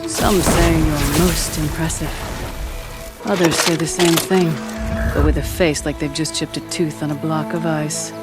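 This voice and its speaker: Deep-voiced wry tribeswoman. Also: steady tone. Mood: amused, curious. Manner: dry, deliberate. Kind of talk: video game dialogue. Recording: very loud music behind.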